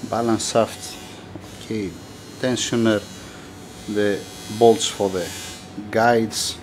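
A man's voice: lowish voice, steady tone, slow pace, slight background noise. A man whose first language is Greek, filming his own engine rebuild in a workshop, thinking aloud as he goes. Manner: thinks aloud, restarts often, non-native phrasing. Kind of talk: casual workshop narration. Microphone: handheld camera.

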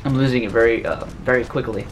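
A man in his twenties doing loud, profane let's-play commentary in his bedroom, his voice going hoarse. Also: medium pitch, fast talker, background noise. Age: 20s. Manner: loud, profane, yelling at the game. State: losing his voice. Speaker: gamer. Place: bedroom, indoors.

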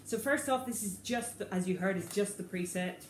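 A woman in her forties chatting casually to the camera between guitar riffs, relaxed and self-deprecating, in a small room at home. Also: medium pitch, moderate pace. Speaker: guitarist demoing an effects preset. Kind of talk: casual gear demo talk to camera. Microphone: camera on the floor, looking up. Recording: roomy echo, loud electric guitar behind.

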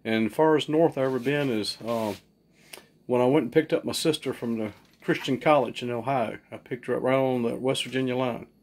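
A man's voice: medium pitch, steady tone, fairly quick, darkish tone. A man off camera, bantering with his wife in a plainspoken, joking way. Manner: plainspoken, joking, double negatives. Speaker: husband off camera. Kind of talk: casual banter.

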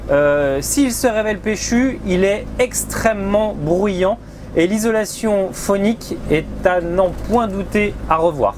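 A man, presenting a vehicle review in a measured, explanatory way into a lapel mic. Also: sits high, a little mellow, a little hiss or hum. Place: outdoors by a river and inside a motorhome.

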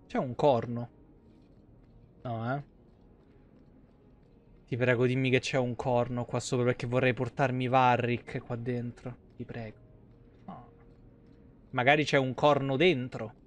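A man's voice: medium pitch, level tone, measured pace, long pauses. A man, giving casual, colloquial, joking live game commentary. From Italy. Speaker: game streamer. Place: small indoor streaming room.

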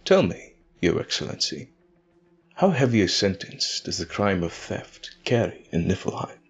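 A man's voice: sits low, sing-song, a little slow, creaky, breathy edge, faint music behind, full character voice. A man playing a dignified, stern older king in an audio drama, formal and regal. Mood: guarded, stern.

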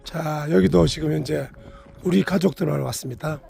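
Hoarse, gravelly man with a cold. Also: on the high side, normal speed, dark tone, soft background music. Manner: explains each stop to the camera. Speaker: travel vlogger. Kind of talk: casual travel narration. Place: outdoors at a desert monument site.

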